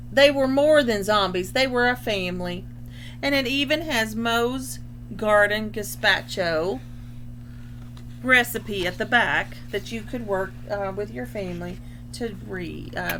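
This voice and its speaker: A woman reading a children's picture book aloud expressively, pausing to explain things in simple terms. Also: on the high side, fairly bright tone, slight background noise. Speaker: storybook reader.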